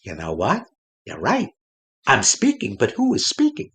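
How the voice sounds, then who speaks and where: A man playing a gullible wooden ventriloquist dummy sidekick in a comic audio play, hesitant, agreeable and easily amazed, with a husky voice. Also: mid-range voice, huge pitch swings, a little slow, fairly bright tone, heavily acted. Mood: agreeable, puzzled.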